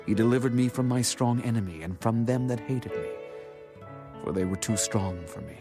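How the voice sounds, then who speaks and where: An actor playing a devout warrior king, reciting scripture in a reverent, declamatory, deliberate way. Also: mid-range voice, music in the background, lightly acted. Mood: reverent, grateful. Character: devout warrior king singing God's praise in verse.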